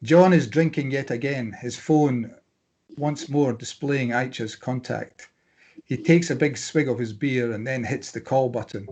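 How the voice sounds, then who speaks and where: A man's voice: medium pitch, unhurried, a little mellow, a little hiss or hum. A man reading stage directions as scripted narration at a drama table read.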